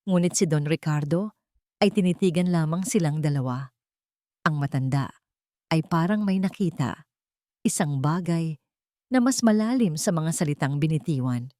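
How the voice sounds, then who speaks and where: A woman's voice: sits low, animated, normal speed, long pauses, mellow, no echo. A woman storyteller narrates a dramatic love story. The recording is close and dry, with no room sound. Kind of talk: scripted dramatic romance narration.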